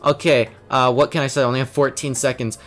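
A man's voice: mid-range voice, fast, faint music behind. A man playing an online game, chatting casually with crude jokes and constant swearing. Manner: excitable, crude, joking, swears a lot. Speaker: gameplay streamer. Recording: faint background noise.

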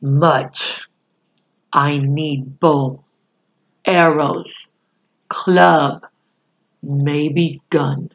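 A woman's voice: sits low, fairly even intonation, extremely slow, long pauses, muffled recording, voice pushed down, exaggerated voice. A woman voicing a tiny, proud, blunt warrior man from a storybook in grave, clipped phrases. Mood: pleading, urgent.

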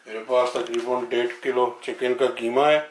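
A man's voice: medium pitch, flat delivery, moderate pace, a little hiss or hum. A middle-aged man, explaining a recipe step by step in a casual, friendly way in his home kitchen. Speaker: home cook. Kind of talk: casual cooking vlog. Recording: echoey kitchen.

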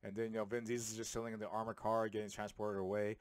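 A man in his thirties giving a rambling, animated, sweary film review in a casual comedic style. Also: on the low side, quick pace, no room sound. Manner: animated, sweary, uses slang. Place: small room at home. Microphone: handheld microphone held close to the mouth.

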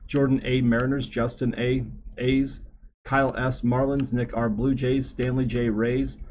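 A man in his thirties hosting a live card-breaking stream, reading names in a low running murmur, a little hoarse. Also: little pitch movement, unhurried, darkish tone, a little hiss or hum. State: slightly hoarse. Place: small card shop studio.

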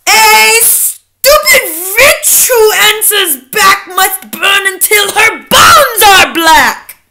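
A woman's voice: very high-pitched, animated, extremely slow, creaky, pushed and bright, pitched above the performer's own voice, full character voice. A woman voicing a furious, tyrannical female witch leader in a storybook, screaming imperiously and drawing out her menacing words.

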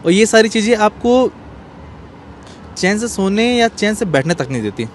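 A man in his thirties giving a serious, explanatory interview answer in short, measured phrases. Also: sits high, sing-song. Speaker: interview guest, cartoonist. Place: outdoors in an open courtyard. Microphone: handheld small microphone. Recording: faint outdoor street background noise.

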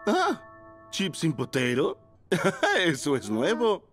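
Cartoon pug daddy, jolly, affectionate, hugely swooping intonation, music behind. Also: male voice, a little high, wildly swooping pitch, slow pace, a bit bright, full character voice. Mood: amused surprise. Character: fond, jolly pug dad police officer. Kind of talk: dubbed children's cartoon acting.

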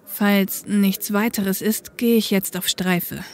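A woman's voice: mid-range voice, fairly even intonation, slow. A woman playing a modest, down-to-earth young policewoman in a German fan audio drama, a little awkward in manner. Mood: uneasy.

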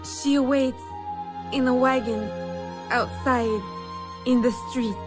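A woman's voice: high-pitched, fairly even intonation, slow. An actress playing a poised, determined young society lady in a video game, formal and politely composed. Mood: urgent. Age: young adult. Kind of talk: video game cutscene acting. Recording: music behind the voice.

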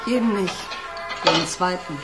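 A woman's voice: on the low side, normal speed, lightly acted. An actress playing a dry, needling housewife and mother in a radio crime drama, sounding unimpressed. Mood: dryly needling. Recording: echoey kitchen with dishes and music.

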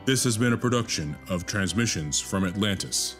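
A man's voice: lowish voice, lively intonation, moderate pace, a bit bright. A man announcing in a scripted style, reading the outro and credits of a podcast. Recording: music under the voice.

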